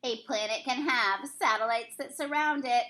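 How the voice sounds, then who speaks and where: A woman teacher reading a rhyming picture book aloud to children in an expressive, sing-song storybook manner. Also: a little high, moderate pace, slight background noise.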